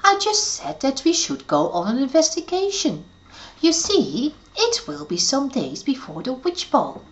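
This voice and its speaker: A woman's voice: high voice, wildly swooping pitch, measured pace, voice pushed up, exaggerated voice. A woman voicing a small, bossy and impatient female fairy. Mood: eager.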